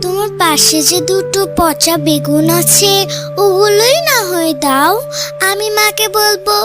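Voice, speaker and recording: A woman's voice: very high-pitched, unhurried, loud music behind the voice. A woman voicing a persistent, wheedling little animal girl in a children's cartoon. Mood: pleading.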